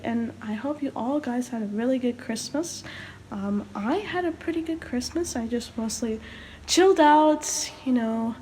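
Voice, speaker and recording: A young woman storyteller who sounds sick, tired and hoarse, reading a story aloud and chatting casually. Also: on the high side, darkish tone, slight background noise. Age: young adult. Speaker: storyteller, video host.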